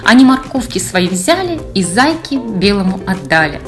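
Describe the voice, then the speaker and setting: A woman reading her own children's fairy tale in verse with an expressive, sing-song delivery. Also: mid-range voice, normal speed, soft background music. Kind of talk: scripted children's storytelling in verse.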